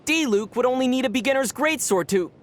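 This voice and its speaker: A deadpan young traveler hero. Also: male voice, very high-pitched, a little fast. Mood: dry. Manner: calm, dry, deadpan replies. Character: young male traveler hero, calm and dry. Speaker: player character. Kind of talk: video game character banter.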